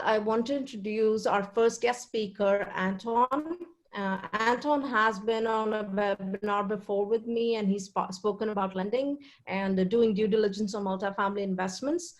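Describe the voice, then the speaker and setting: Woman hosting a webinar, mid-pitched. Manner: hesitant, frequent fillers. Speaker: webinar host, investor. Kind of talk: introducing guests.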